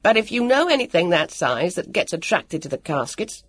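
A woman's voice: lowish voice, steady tone, measured pace, vocal fry, no echo, clean studio sound, pitched above the performer's own voice. A woman voicing an earnest, self-dramatising boy who speaks precisely and emphatically in a storybook reading. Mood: angry.

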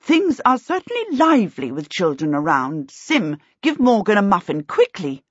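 A woman voicing a gracious storybook princess in a brisk, regal and decisive manner. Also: mid-range voice, huge pitch swings, husky, no echo, lo-fi sound. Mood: gracious, commanding.

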